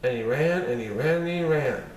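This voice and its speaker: A man reading a children's story aloud in a lively, expressive way. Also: medium pitch, normal speed, far from the mic, a little hiss or hum. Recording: roomy echo, faint ambience.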